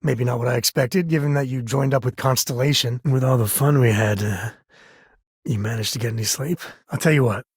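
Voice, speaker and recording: A rugged spacefaring adventurer played by a man speaks in a very husky, rough-edged voice at a medium pitch. He is folksy and wry, talking like an easygoing video game companion. The recording is dry and close, with no room around it. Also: a little fast, no echo, close to an everyday voice. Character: rugged, wry space explorer and devoted single father. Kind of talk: video game voice acting, conversational.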